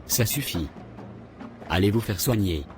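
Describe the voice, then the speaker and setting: A man's voice: lowish voice, lively intonation, unhurried. A man voicing a guarded, easily offended young man in a cartoon, curt and dismissive, with music behind him. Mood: annoyed, dismissive. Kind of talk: scripted cartoon dialogue. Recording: loud music over the voice.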